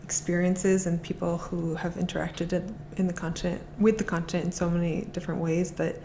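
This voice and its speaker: Low gravelly woman, eager podcast guest. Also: level tone, brisk, dark tone, a little hiss or hum. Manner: eager, restarts phrases often. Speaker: guest, art auction specialist. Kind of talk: casual conversation.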